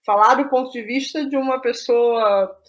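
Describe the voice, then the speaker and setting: A middle-aged woman with a Brazilian accent and a fairly high voice. She talks reflectively as a guest in a conversation, stopping to qualify her phrasing and leaning on key terms. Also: fairly even intonation, normal speed, muffled recording. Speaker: guest playwright.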